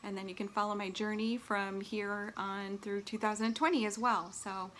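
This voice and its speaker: A middle-aged woman speaks to camera in a casual, upbeat way, like a vlogger sharing an announcement. Her pitch is average for a woman. It is recorded in a living room at home, with slight noise behind her. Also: flat delivery, moderate pace, fairly bright tone. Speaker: vlogger and long-distance hiker. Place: small furnished living room at home, indoors.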